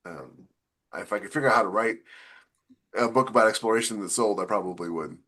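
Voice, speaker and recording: A man's voice: lowish voice, fairly quick, pushed and bright. A man co-hosting a podcast, chatting casually and explaining things in a loose, rambling way. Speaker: podcast co-host, science fiction author. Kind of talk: casual panel chat.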